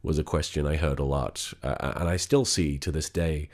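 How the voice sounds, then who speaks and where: A man talking quietly and haltingly in a candid vlog, sick, with a gravelly voice and many restarts. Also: very deep voice, steady tone. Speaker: vlogger.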